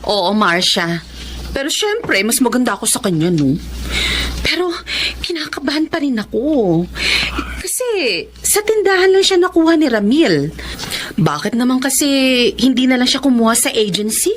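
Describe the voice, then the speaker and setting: A woman's voice: fairly high pitch, big pitch swings, moderate pace, pauses often, a little hiss or hum. A Filipino woman playing a jealous, bossy, hot-tempered girlfriend in a radio drama, haughty and scolding. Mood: uneasy, vain.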